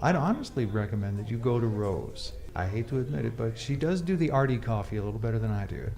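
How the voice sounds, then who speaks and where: A man playing an unassuming, bookish small-town bar owner, answering documentary interview questions in a measured, modest, slightly formal way. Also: mid-range voice, lively intonation, darkish tone, natural voice. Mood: earnest, modest.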